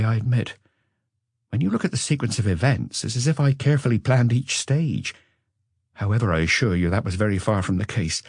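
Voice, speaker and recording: A man's voice: fairly low pitch, a little mellow, breathy edge, dry recording, studio quality. A man voicing a weary businessman under police questioning, earnest, wordy and self-justifying, in an audiobook.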